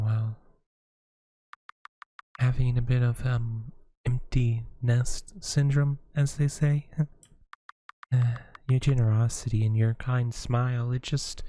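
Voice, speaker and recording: A man voicing a lonely, gentle witch mother. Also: mid-range voice, a little hiss or hum, natural voice. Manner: gentle, hesitant, sighing. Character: lonely motherly witch woman living alone in the woods. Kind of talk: audio roleplay acting.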